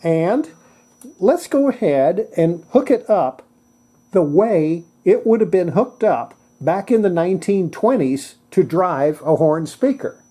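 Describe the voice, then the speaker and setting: A presenter giving a casual hands-on technical demonstration, thinking aloud in a halting way. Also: mid-range voice, big pitch swings, dark tone, breathy edge. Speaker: presenter demonstrating antique radios.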